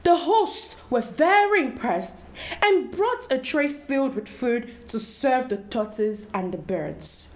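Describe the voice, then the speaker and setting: A woman reading a story aloud to children in an expressive storytelling style, addressing young listeners directly. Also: sits high, slow.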